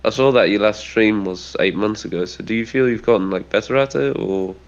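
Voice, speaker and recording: Low-voiced young man, native Dutch speaker. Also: a little fast, dull audio. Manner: relaxed, loose, swears casually. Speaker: caller joining a stream. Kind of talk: casual chat on a voice call.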